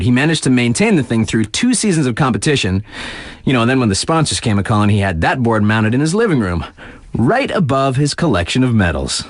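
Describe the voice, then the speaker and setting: A man's voice: medium pitch, no room sound, close to an everyday voice. A man playing a hip, laid-back radio DJ in a video game, giving casual, slangy sports patter with playful conversational asides. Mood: hyped, admiring. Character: hip, laid-back male radio DJ covering snowboard races.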